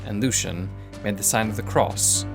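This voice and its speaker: A man's voice: fairly low pitch, moderate pace, creaky, music in the background. A man performing scripted first-person narration of a dramatic story.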